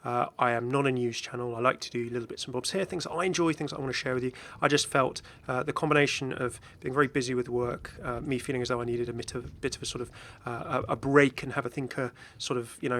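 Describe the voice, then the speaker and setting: A man's voice: mid-range voice, a bit bright. A man talking casually and unscripted as a gaming YouTuber, rambling chattily as he goes. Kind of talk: casual unscripted video commentary and channel update.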